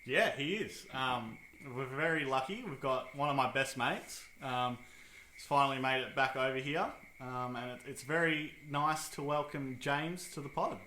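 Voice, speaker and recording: A man's voice: mid-range voice, normal speed, sharp tone. A man chats easily on a podcast, self-deprecating and full of ums. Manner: self-deprecating, easygoing, lots of "um". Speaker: podcast co-host. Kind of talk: casual chat. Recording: echoey room with light noise.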